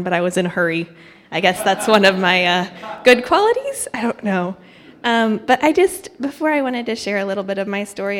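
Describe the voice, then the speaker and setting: Young woman in a reverberant church hall. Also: mid-range voice. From Thailand. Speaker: guest speaker, missionary candidate. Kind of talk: informal church testimony and devotional. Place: church hall, speaking to congregation. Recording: echoey hall with long reverb.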